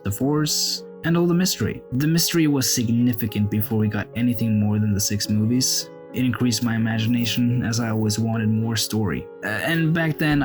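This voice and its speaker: Thoughtful man, halting delivery. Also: lowish voice. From Norway. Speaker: viewer contributor. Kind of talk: read-out personal statement.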